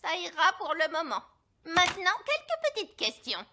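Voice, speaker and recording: A woman's voice: very high-pitched, huge pitch swings, moderate pace, background noise, full character voice. A woman voicing a strict, exasperated magic schoolmistress in a children's cartoon, imperious and scolding. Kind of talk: animated children's cartoon dub.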